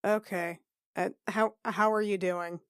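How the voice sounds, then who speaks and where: A woman talking casually as a tabletop game player, slipping into roleplay in a halting, wry, sweary way. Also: mid-range voice, fairly even intonation, a bit bright, smooth, no room sound, clean studio sound.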